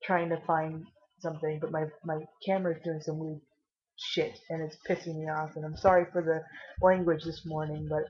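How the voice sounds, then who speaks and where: A tired, caffeinated woman vlogging casually with a low voice, rambling and swearing now and then. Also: level tone, a little fast, dull audio.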